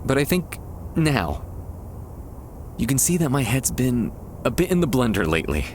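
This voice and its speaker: A man playing a shy, tender young man confessing love to a childhood friend, hesitant and soft-hearted, in an intimate ASMR roleplay. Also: mid-range voice, sing-song, slight background noise, natural voice. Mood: sheepish, tender. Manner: soft-hearted, hesitant, halting with nervous chuckles. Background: quiet park ambience.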